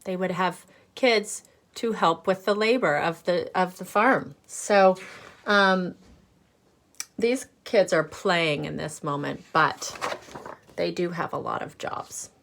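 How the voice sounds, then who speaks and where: Slow-paced woman reading to children. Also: lowish voice, vocal fry, a bit bright. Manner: explains words and checks in with simple questions. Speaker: teacher reading a picture book aloud to children. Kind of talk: read-aloud storytelling with explanatory asides.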